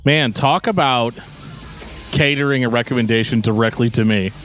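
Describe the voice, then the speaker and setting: A man's voice: medium pitch, normal speed, fairly bright tone, faint music behind. A man co-hosting a podcast in casual chat, explaining things in a joking, rambling way. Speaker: podcast co-host.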